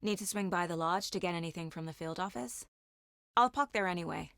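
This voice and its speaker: A woman's voice: lowish voice, brisk, fairly bright tone, no echo, studio quality. An actress voicing a determined, intense woman FBI agent in dramatic video game dialogue. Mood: calm, businesslike.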